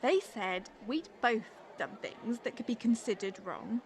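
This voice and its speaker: A woman's voice: fairly high pitch, expressive, a little slow. A woman playing a manipulative, wounded girlfriend in a stage drama, arguing in a defensive, guilt-tripping way. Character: manipulative, wounded, accusing young girlfriend in abusive relationship. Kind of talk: dramatic dialogue from a stage play.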